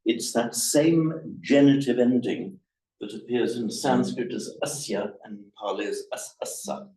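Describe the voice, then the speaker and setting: A man leads a grammar lesson, patient and prone to repeating words mid-thought. His voice is mellow and dark. It carries through an echoey room to a recorder set some distance away. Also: medium pitch, unhurried. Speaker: Pali teacher. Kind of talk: classroom explanation of grammar. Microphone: room recorder, away from the speaker.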